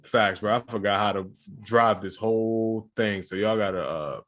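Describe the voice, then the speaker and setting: A man's voice: lowish voice, moderate pace, a bit bright, a little hiss or hum. A man co-hosting a podcast, chatting casually in slangy, laid-back banter. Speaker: podcast co-host. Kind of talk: casual chat.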